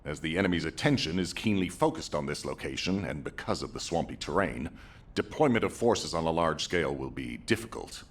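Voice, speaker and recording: A man playing a grave, weary but resolute military commander in a video game, with a husky, gravelly voice and a stern, formal delivery. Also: very deep voice, animated, fairly quick, slight background noise. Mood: stern, urgent. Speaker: commander giving mission briefings. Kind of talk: dramatic video game briefing.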